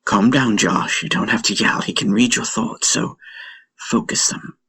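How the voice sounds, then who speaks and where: A man's voice: mid-range voice, very animated, unhurried, creaky, voice pushed up. A man voicing a defiant, exasperated woman technician in a sci-fi audiobook, tense and scolding, over music. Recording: music bed under the voice.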